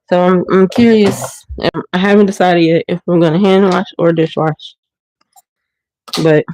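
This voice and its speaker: A woman's voice: on the low side, a little mellow, slight background noise, poor audio. A woman hosting a casual livestream from her kitchen, a bit stuffy and sniffling, rambling with many ums and restarts. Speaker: livestream host. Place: home kitchen. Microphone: phone or webcam while washing dishes.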